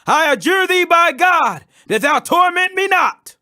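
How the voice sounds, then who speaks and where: Man acting out a loud, high, tormented demon. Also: very animated, unhurried, full character voice. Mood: desperate pleading. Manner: crying out, imploring. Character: tormented legion of unclean spirits, pleading loudly.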